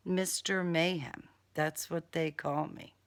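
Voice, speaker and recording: A woman's voice: low-pitched, steady tone, slow, pitched below the performer's own voice. A woman voicing a smug male serial killer in an audiobook, with polite, condescending, formal diction and a taunting menace. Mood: smug, menacing.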